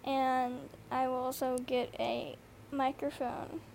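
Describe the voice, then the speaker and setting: A woman's voice: sits high, little pitch movement, slow, creaky, a little mellow. Teenage girl, slight lisp, tired, chatty, swears, earbud mic, bedroom, faint background noise. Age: teens. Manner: rambling, swears often, lots of fillers. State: tired from lack of sleep. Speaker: podcast host. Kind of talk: casual solo podcast chat. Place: noisy bedroom. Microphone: earbud microphone.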